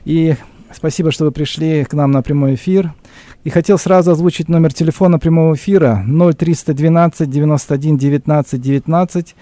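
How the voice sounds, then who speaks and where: A man's voice: a little high, fairly even intonation, dark tone, dry recording. Formal male radio host, dry studio sound. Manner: measured, announcer-like reading of numbers. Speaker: radio talk show host. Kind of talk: formal live radio interview. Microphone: studio microphone.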